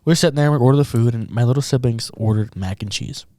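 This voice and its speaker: A man's voice: medium pitch, fast talker, dark tone, no room sound. Man, podcast co-host, casual rambling chat, laughs a lot, dry close mic. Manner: chatty, laughs often, rambling restarts.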